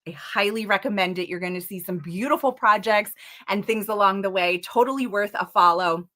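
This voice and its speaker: A woman's voice: mid-range voice, brisk, sharp tone. Upbeat woman as livestream host, chatty and welcoming. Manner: bubbly and chatty, sometimes muttering while setting up.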